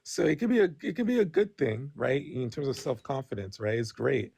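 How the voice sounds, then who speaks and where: A man's voice: medium pitch, sing-song, fairly quick, vocal fry, a little mellow, husky, no room sound. A Nigerian-born man, talking bluntly in a casual podcast debate and tagging his points with "right?". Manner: candid, blunt, frequent restarts and "right?" tags.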